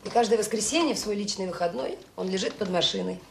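An actress playing a kind, firm children's home director, calm and authoritative, in a Soviet radio drama. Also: female voice, medium pitch, fast, vocal fry, slight background noise, lightly acted. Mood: attentive.

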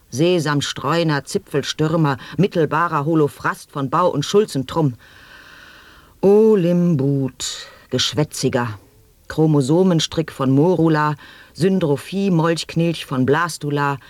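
An actor in a radio play declaiming nonsense sound poetry in slow, halting word-by-word exclamations. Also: male voice, fairly high pitch, no room sound.

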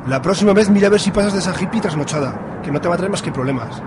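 A man's voice: high-pitched, expressive, rapid-fire, background noise. A man playing a snobbish, grumbling father. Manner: grumbling, impatient. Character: snobbish middle-aged father, Esteban, grumbling.

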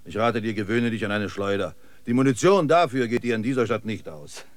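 Radio play role, male actor: seasoned, sardonic guide, knowing and laconic, very varied intonation, clear noise behind. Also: on the low side, animated, a little fast, natural voice. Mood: sardonic, unruffled. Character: cynical, seasoned male guide, slingshot-proud street survivor. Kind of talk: German radio play acting.

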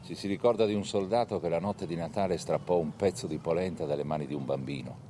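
A man reading scripted historical narration for a documentary film in a measured storytelling manner. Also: deep voice, warm tone, slight background noise.

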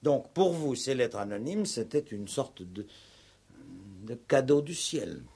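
An actor playing a probing, insistent, ironic police commissioner in a 1960s French radio play. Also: male voice, mid-range voice, sing-song, takes it slowly, mellow, slightly rough, exaggerated voice. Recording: old 1960s radio recording.

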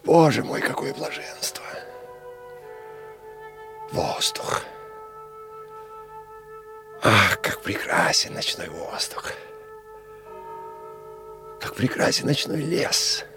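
A man's voice: mid-range voice, huge pitch swings, very slow, long pauses, exaggerated voice. An actor playing a rapturous freed prisoner in a radio play, exclaiming dreamily as he savours freedom. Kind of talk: Russian radio play acting. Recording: loud music behind the voice.

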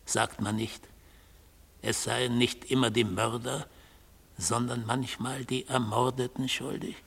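A solemn zealot god in a radio play, creaky husky voice, preaching order in a long echo. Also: male voice, medium pitch, flat delivery, takes it slowly, full character voice. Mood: measured self-justification. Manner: solemn, insistent, declamatory, lapsing into hushed intensity. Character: mystical Good God on trial, fanatical defender of order. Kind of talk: German radio drama acting. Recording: long hall-like reverb.

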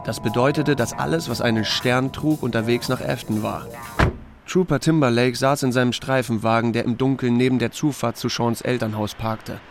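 A man's voice: mid-range voice, fairly even intonation, normal speed, gravelly, soft background music. A man narrating an audiobook in the first person and acting out its dialogue in a dry, laconic, understated way. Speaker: audiobook narrator voicing a small-town sheriff's first-person story. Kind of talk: scripted narration with acted dialogue.

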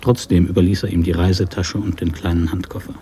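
A man narrating a literary radio drama from a script in a measured, sober manner. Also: low voice, flat delivery, dark tone, slight background noise.

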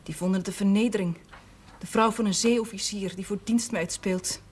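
An actress playing a self-sacrificing priest's housekeeper in a television drama, earnest, plain-spoken and resigned. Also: female voice, medium pitch, fairly even intonation, moderate pace, a little mellow, breathy edge, lightly acted. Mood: subdued, regretful. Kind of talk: naturalistic television drama acting. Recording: noisy old television soundtrack.

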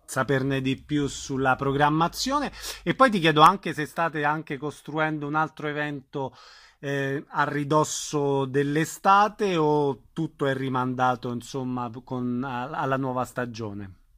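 A man's voice: mid-range voice, unhurried, bright, forceful tone. A man hosting a radio interview, asking questions in a conversational style.

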